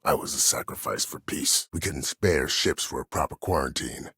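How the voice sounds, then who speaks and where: An actor playing a cold, proud, older former war admiral in a video game, speaking formally and with measured authority in a gravelly, husky voice. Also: male voice, very deep voice, pushed and bright, no room sound, studio quality, heavily acted. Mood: grave, resolute. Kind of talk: dramatic video game dialogue.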